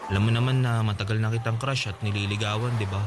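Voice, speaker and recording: A man's voice: fairly low pitch, fairly even intonation, quick pace, over music, lightly acted. A man playing an earnest, lovesick teenage schoolboy in a radio drama, pleading and insistent. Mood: eager, tender. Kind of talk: Tagalog radio drama acting.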